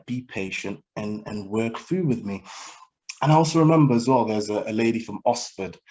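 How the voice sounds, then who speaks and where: A man in his early twenties giving a personal testimony as a guest speaker, earnest and reflective in a measured storytelling way. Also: lowish voice, a little mellow, rough recording. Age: early 20s. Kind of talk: personal testimony in a public talk.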